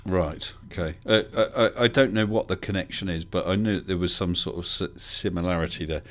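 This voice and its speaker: A man's voice: low-pitched, brisk. A man hosting a casual livestream call-in chat, bringing callers in and answering them with dry, wry humour. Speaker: livestream host.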